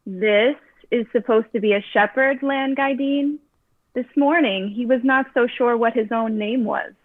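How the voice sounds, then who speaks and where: Stately, wry fantasy gatekeeper , female actor, slightly high, very dry, phone-quality sound. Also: measured pace, no echo. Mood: amused. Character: tall, regal, amused woman gatekeeper of a magical order. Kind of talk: table read of a fantasy screenplay. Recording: thin phone-like call audio.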